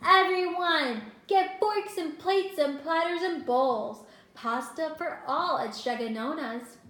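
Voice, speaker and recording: A woman voicing a foolish, eager young man in a storybook, loudly proclaiming and chanting. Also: medium pitch, lively intonation, takes it slowly, voice pushed down. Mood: excited, proclaiming. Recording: roomy, echoing indoor sound.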